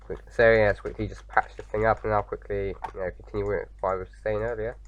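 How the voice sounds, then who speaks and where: A man with a slight sore throat, slightly croaky, chatting casually as he hosts a game playthrough, rambling with lots of fillers. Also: fairly low pitch, flat delivery, quick pace, lo-fi sound, a little hiss or hum. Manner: rambling, many fillers and restarts. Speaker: gaming video host. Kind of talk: casual let's-play commentary.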